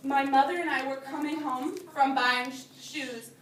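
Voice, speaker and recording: High-voiced woman teacher reading to children. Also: fairly even intonation, moderate pace, fairly bright tone. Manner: simple explanatory phrasing, addressing small children. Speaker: teacher reading a picture book aloud to young children. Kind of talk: read-aloud storytelling with explanations for young children. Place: indoor classroom. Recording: roomy, distant microphone with background noise.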